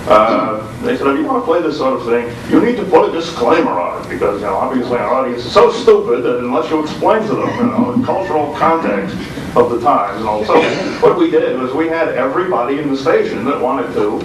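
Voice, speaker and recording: A man's voice: medium pitch, big pitch swings, fairly quick. A radio host, a man, talking informally and wryly on a panel. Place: convention room, informal video recording. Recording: echoey room, distant mic, clear background noise.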